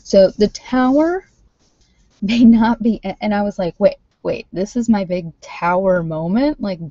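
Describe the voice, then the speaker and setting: A woman chatting casually as a livestream host reading cards, thinking aloud in an informal, halting way, on dull lo-fi audio. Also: medium pitch, warm tone, dry recording. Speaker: livestream host doing tarot card readings. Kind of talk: casual live chat with viewers, card reading. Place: at home, indoors.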